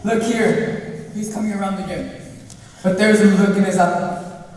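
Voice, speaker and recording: A man's voice: sits high, fairly even intonation, a little slow, a little mellow. A man playing an alarmed, deferential household attendant in a stage play. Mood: hesitant. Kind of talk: amateur stage play acting. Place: theater stage, indoors. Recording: echoey theater hall from far off.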